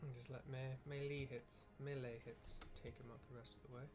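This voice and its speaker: A man's voice: medium pitch, flat delivery, fairly quick, music in the background. A man giving informal, wry and self-deprecating gameplay commentary mixed with personal chat. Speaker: gaming streamer and narrator. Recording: clear background noise.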